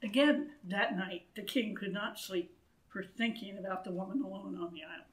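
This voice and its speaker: A woman in her sixties reading a children's story aloud in an expressive, deliberate way, with asides to listeners, recorded indoors a few feet from the camera. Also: mid-range voice. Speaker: storybook reader. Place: wood-panelled church room, indoors. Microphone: camera a few feet away.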